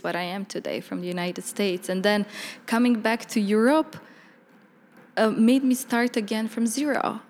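A young woman from Bosnia talks about her life as an artist, candid and reflective, with easy laughs. Her voice sits at a middle pitch. A long reverb trails behind her words in a reverberant room. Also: darkish tone. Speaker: artist guest. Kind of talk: conversational interview.

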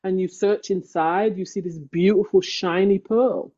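A woman from England with an English accent, a podcast guest talking things through slowly and thoughtfully with metaphors. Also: medium pitch, steady tone, dark tone, muffled recording. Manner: slow, thoughtful, uses metaphors. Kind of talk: reflective conversation.

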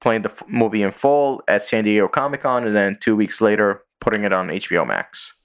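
A man hosting a podcast in casual chat, rambling through stories with quick asides. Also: lowish voice, smooth. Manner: rambling storyteller, sings and does quick voices. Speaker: podcast host.

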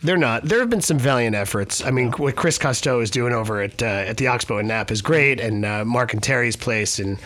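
A man's voice: mid-range voice, brisk, few pauses, a bit bright. Easygoing middle-aged male podcast guest. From Healdsburg, California. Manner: easygoing, diplomatic, rambling with fillers. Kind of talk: casual interview chat.

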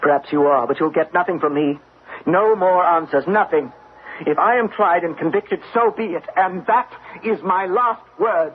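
An actor playing a proud, bitter young gentleman in an old-time radio drama, clipped and haughty. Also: high-pitched, moderate pace, phone-quality sound, natural voice. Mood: defiant. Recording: thin, band-limited old radio recording, faint noise.

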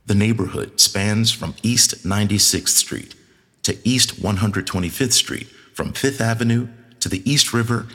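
A man with an American accent reads a scripted introduction as presenter. His voice is low and husky, and a long reverb trails around it. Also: fairly bright tone. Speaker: presenter and artistic director. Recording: long reverberant hall sound.

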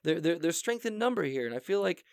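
Man playing a therapist host, flustered, stammering, fast, dry sound. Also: fairly high pitch, very animated, vocal fry, dry recording.

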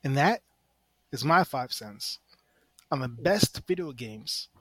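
A man's voice: medium pitch, expressive, slow pace, a bit bright, husky, no echo. A man chatting casually on a panel podcast, laying out points in emphatic, repeated phrases. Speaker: podcast contestant presenting a ranked list.

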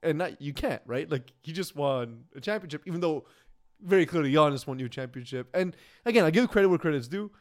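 A man co-hosting a casual sports talk show, rambling and laughing as he goes, often trailing off quietly. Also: on the high side, animated, fast talker. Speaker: podcast co-host.

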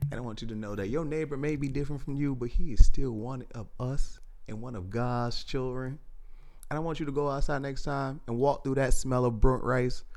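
A man's voice: medium pitch, fairly quick, darkish tone, close to an everyday voice. A man voicing a preachy Southern radio pastor in a put-on Southern accent, giving sermon-like commands in an improvised sketch.

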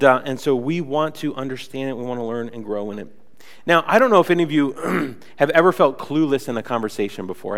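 A man's voice: fairly high pitch, fairly bright tone, clear, resonant tone, big-room sound. A young man preaching a casual, conversational sermon in a church, a bit nasal from a cold and full of chatty fillers. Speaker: pastor. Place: church sanctuary.

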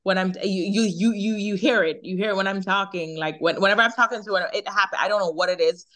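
A young Jamaican woman chatting in a remote interview, talkative and quick to laugh, often repeating her words. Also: medium pitch, fairly bright tone. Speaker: singer, interview guest. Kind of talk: casual interview chat.

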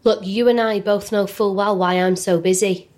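A woman's voice: mid-range voice, steady tone, a little mellow, natural voice. An actress playing a harried carer who soothes but brushes off the old woman in her care. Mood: dismissive. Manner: brisk, soothing yet dismissive. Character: harried adult daughter and carer, busy and distracted.

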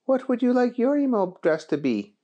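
A storyteller voicing a kind, patient woman librarian who speaks gently and slowly. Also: medium pitch, sing-song, lo-fi sound, voice pushed up. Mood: helpful.

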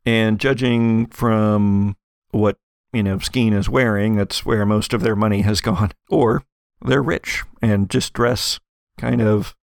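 American man narrating, with a gravelly edge. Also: fairly low pitch, fairly even intonation, slow, no echo, clean studio sound. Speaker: game master of an actual-play audio drama. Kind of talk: improvised narration of a tabletop game.

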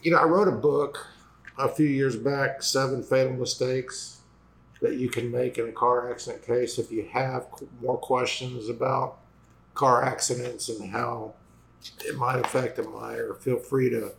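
Male host, lawyer type, raspy and gravelly, voice failing, halting casual delivery full of restarts. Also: medium pitch, fairly even intonation, slight background noise, poor audio. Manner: halting, many fillers and restarts. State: losing voice after vocal cord injections. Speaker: personal injury lawyer and host. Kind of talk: casual explanatory talk to viewers.

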